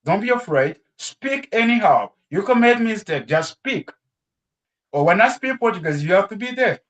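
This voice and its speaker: A man's voice: high voice, normal speed. A man from Congo, speaking English as a second language, talking casually with a class about learning languages. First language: not English. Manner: animated, expressive, laughs often. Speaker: guest.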